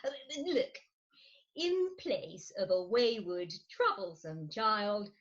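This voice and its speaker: A woman's voice: medium pitch, sing-song, takes it slowly, lo-fi sound. An actress playing a dramatic middle-aged Victorian wife and mother in a comic stage reading, theatrical and emphatic, on a remote recording. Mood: pleading.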